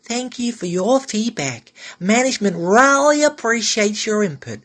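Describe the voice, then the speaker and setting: A man's voice: sits high, very animated, measured pace, fairly bright tone, full character voice. A man playing a dismissive customer service worker with scripted, passive-aggressive politeness. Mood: falsely polite.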